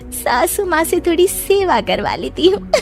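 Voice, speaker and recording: Woman playing scheming young daughter-in-law, cartoon style, high voice, animated swoops, gleeful exclamations. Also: takes it slowly, vocal fry, faint music behind, full character voice. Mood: gleefully scheming. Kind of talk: cartoon story acting.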